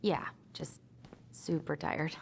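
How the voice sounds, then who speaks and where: An actress playing an anxious, sleep-deprived young American woman in a video game, speaking softly and hesitantly. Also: low-pitched, fairly even intonation, slow, creaky, in a whisper, full character voice. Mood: tired. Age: young adult. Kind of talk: naturalistic video game acting. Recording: music behind the voice.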